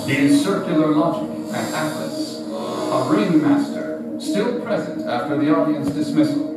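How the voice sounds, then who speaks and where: An actor playing a solemn, cryptic circus narrator, delivering a poetic stage monologue in a portentous, declamatory way. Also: male voice, fairly high pitch, unhurried, recorded from a distance, natural voice. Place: theater stage. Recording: echoey theater from afar, loud music.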